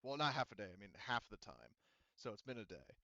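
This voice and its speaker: Halting male game master, dry slightly dull recording. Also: lowish voice, big pitch swings, creaky, bright, forceful tone, no room sound. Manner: explanatory, halting, repeats and rephrases phrases. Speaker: game master of a tabletop roleplaying game. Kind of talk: casual, improvised game narration.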